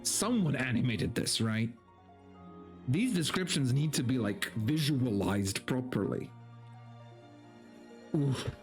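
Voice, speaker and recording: A man's voice: mid-range voice, animated, slow, pauses often, darkish tone, soft background music. A man streaming a dramatic story reading, with theatrical narration and casual, mock-exasperated asides.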